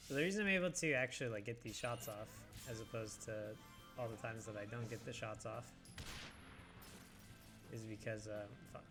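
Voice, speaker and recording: A young man with a stuffy nose and a scratchy throat talks through a video game in a loose, rambling way, full of ums and likes. His voice sits at an ordinary middle pitch. Game music plays clearly behind him. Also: moderate pace. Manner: rambling, hesitant with many fillers. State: congested, scratchy throat, coughing. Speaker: let's play host. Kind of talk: casual gameplay commentary.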